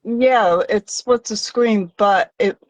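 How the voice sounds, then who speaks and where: A woman hosting a live craft stream speaks in an unhurried, drawn-out way. She chats casually with her viewers and a guest, stopping often on small fillers. The sound comes through a computer mic on a live video call. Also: medium pitch, no echo, low-quality recording. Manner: chatty, reads out viewers' locations, halting with fillers.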